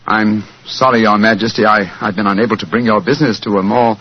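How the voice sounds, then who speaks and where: Courteous, assured detective male actor, husky voice, precise delivery, vintage radio drama. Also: lowish voice, steady tone, quick pace, natural voice. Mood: admiring, rueful. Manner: crisp, precise, gentlemanly. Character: brisk, assured, courteous gentleman detective, a man. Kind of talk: 1940s radio acting. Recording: dull vintage radio recording.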